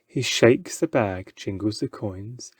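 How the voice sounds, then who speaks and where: A man narrating a children's novel in an expressive storybook style. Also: on the low side, takes it slowly, breathy edge, no room sound.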